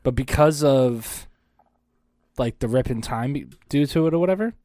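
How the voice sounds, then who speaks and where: A man's voice: mid-range voice, normal speed, dark tone, dry recording, clean studio sound. Wisecracking, cursing man. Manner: wisecracking and profane. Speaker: podcast co-host. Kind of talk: casual chat.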